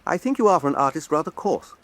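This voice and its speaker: An actor playing a sensitive, vain admiral in a historical radio drama, with measured, formal, wounded politeness. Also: male voice, a little high, sing-song, a bit bright, dry recording. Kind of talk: full-cast historical radio drama acting.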